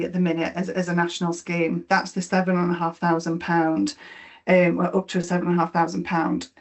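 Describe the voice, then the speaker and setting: Yorkshire woman presenting in a flat, low voice. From Yorkshire, England. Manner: explanatory, with frequent fillers and repeated words. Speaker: mortgage lender presenter. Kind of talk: webinar presentation.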